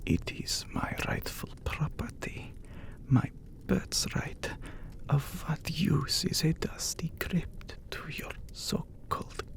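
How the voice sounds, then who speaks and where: A man playing an immortal, brooding vampire lord in an ASMR audio drama, with a breathy whisper and solemn, formal phrasing. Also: fairly high pitch, big pitch swings, slow, exaggerated voice. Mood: proud, guarded. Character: handsome immortal vampire count, brooding, menacing lord of castle.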